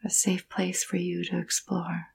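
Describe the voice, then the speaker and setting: A woman leading a guided meditation in a soft, breathy, husky voice, gentle and soothing, with long pauses between phrases. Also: fairly low pitch, monotone, a little hiss or hum. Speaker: yoga nidra meditation guide.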